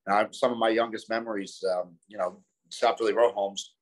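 A man in his twenties with a South Philadelphia accent, relaxed and easygoing, chatting as a guest over a video call from a small room. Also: fairly low pitch, a little fast, poor audio. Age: 20s. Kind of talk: casual chat.

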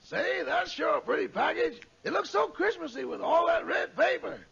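Gravelly, high-pitched servant. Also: male voice, animated, moderate pace, a bit bright, heavily acted. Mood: cheerful. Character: gravelly, chipper male servant to his boss. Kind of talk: 1950s radio comedy acting. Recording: dull, hissy vintage radio.

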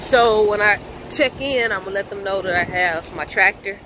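A young woman truck driver chatting casually to her vlog camera, animated and talkative. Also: mid-range voice, moderate pace. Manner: chatty, animated, addresses viewers as y'all. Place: hotel and truck cab. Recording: background noise.

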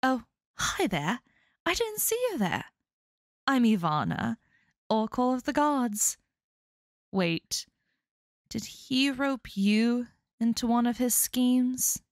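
Woman playing a slow, mocking oracle of the gods. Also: fairly high pitch, very animated, no room sound, clean studio sound, full character voice. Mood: curious, friendly. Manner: mocking, theatrical. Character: sardonic, grudge-holding female oracle of the gods. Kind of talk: ASMR audio roleplay.

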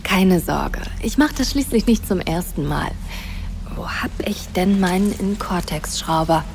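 A woman playing a resourceful young woman who talks quietly and often whispers to stay hidden. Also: lowish voice, expressive, unhurried, creaky, a little hiss or hum. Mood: hushed. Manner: hushed, practical, often whispering.

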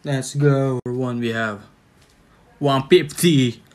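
A young man with a Filipino accent and a mid-pitched voice presents a numbered list. He announces each item in challenge style. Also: fairly even intonation, slow pace, darkish tone. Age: young adult.

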